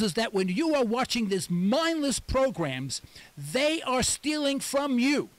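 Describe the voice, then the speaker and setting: A man in his late sixties, speaking in a high voice with wide swings in pitch. He hosts a radio talk show and delivers an emphatic monologue, often repeating words for stress. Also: a bit bright. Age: 60s.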